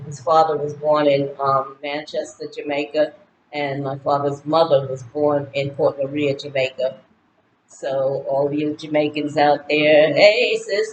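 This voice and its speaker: A woman's voice: deep voice, slight background noise. A woman in her sixties talking casually to her viewers, rambling through a list of goals with lots of fillers. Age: 60s. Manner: chatty, rambling, lists goals with many fillers. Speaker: vlogger. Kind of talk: casual birthday monologue to camera. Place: home in Ghana. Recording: roomy echo with faint ambience.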